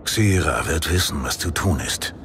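A man's voice: very deep voice, expressive, normal speed, fairly bright tone, slight background noise. An actor playing a devout, resolute male paladin in an audiobook drama, with a solemn, intense manner. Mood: hushed resolve. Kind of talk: audiobook drama acting in German.

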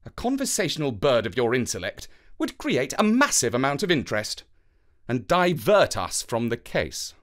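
A man voicing a proud, precise gentleman detective with a formal, emphatic manner in a children's story. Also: mid-range voice, sing-song, normal speed. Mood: assured, brisk.

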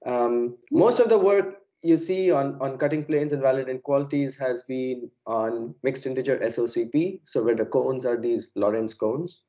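A hoarse, unwell man giving an academic lecture over a video call, halting with many fillers and repeated words. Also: mid-range voice, warm tone, lo-fi sound. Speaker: plenary speaker.

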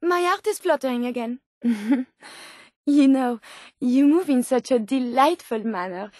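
A woman's voice: sits high, lively intonation, unhurried, darkish tone, dry recording, clean studio sound. An actress voicing a polite, curious and tender female robot scientist in a video game, with a French accent and precise, formal phrasing. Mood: tender, smitten. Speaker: video game companion character. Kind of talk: video game companion dialogue.

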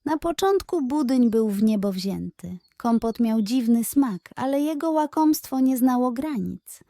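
Unhurried woman narrating a children's tale. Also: fairly high pitch, expressive, dark tone, no room sound, studio quality. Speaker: storyteller. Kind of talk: scripted children's bedtime story narration.

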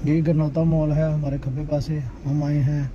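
A man's voice: on the high side, flat delivery, normal speed, highs cut off. A man in his thirties, a travel vlogger giving a casual tour from a car and then inside a shopping mall, filmed on a handheld phone, often repeating a catchphrase as he points things out. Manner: repeats "check karein ji", chatty tour-guide narration. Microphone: handheld phone camera. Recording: background noise.

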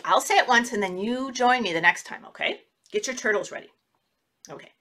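A middle-aged woman hosting children's story time, reading and talking expressively with drawn-out, exaggerated words. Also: on the high side, pushed and bright. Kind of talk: animated picture-book read-aloud for young children. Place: small indoor room.

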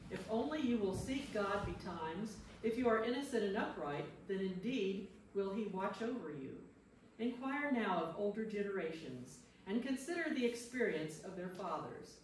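A woman's voice: medium pitch, expressive, moderate pace, close to an everyday voice. A woman playing a blunt friend who scolds in defense of God, reading scripture dramatically and emphatically. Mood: scolding. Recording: echoey room, distant mic, faint noise.

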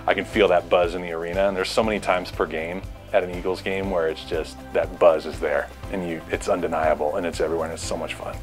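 A man's voice: low-pitched, flat delivery, fast, few pauses, over music. A middle-aged American man, a sports announcer, talking casually and reflectively about his work. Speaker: public address announcer.

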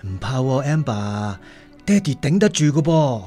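Apologetic Cantonese working dad. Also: male voice, medium pitch, sing-song, measured pace, warm tone, faint music behind. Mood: weary, resigned. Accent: Hong Kong Cantonese. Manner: apologetic, hesitant, sighing. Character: hardworking, devoted, apologetic working-class father. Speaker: radio drama actor. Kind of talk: radio drama acting.

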